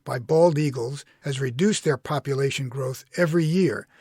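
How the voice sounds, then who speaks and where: A man's voice: mid-range voice, moderate pace, darkish tone, husky, dry recording, clean studio sound. A man reading his own blog essay as scripted narration.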